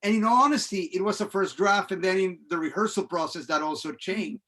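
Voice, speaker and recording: High-voiced man. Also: fairly bright tone. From Venezuela. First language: Spanish. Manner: halting, many restarts and fillers. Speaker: playwright and director. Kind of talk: panel discussion.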